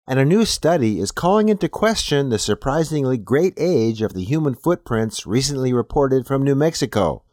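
Animated man announcing, dry sound. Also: mid-range voice, normal speed, hardly any pauses, dry recording. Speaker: show announcer. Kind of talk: scripted announcement.

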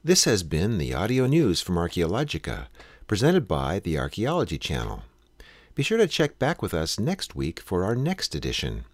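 A man's voice: low-pitched, wildly swooping pitch, normal speed. A man working as an announcer, reading a scripted programme announcement.

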